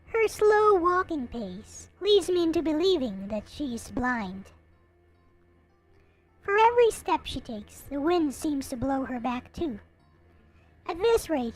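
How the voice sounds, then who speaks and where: Woman voicing a high-pitched, gloomy, brooding young soul. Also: rough recording. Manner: melancholy, brooding, theatrical. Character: gloomy, bitter, hopeless young person going blind, self-pitying narrator. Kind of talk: dramatic reading of a visual novel.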